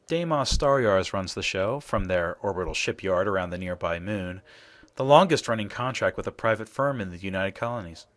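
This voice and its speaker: A man acting a composed, pragmatic space explorer in a video game, explaining things in a measured way. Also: low-pitched, lively intonation. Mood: matter-of-fact.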